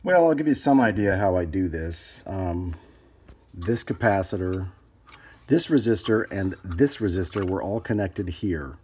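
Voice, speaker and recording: Low-voiced man narrating hands-on repair work. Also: lively intonation, unhurried, a little mellow, slightly rough. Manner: unscripted, thinking aloud while working. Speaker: hobbyist repairer demonstrating his work. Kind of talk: casual hands-on tutorial narration. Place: indoors at a workbench.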